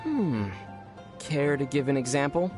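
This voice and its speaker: A man playing a young adventurer hero in a video game, with a youthful voice and a measured, thoughtful, gently wry manner. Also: medium pitch, lively intonation, natural voice. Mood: musing. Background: music.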